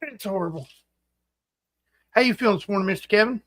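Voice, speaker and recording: A man in his forties hosting a casual livestream chat, rambling and halting with fillers and restarts. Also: sits high, fairly bright tone. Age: 40s. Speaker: host.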